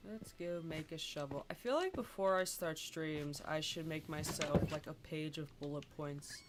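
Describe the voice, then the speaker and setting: A live streamer chatting casually while playing a building game, sounding congested, hesitant with many ums and restarts, and clearing the throat often. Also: mid-range voice, moderate pace. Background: game music.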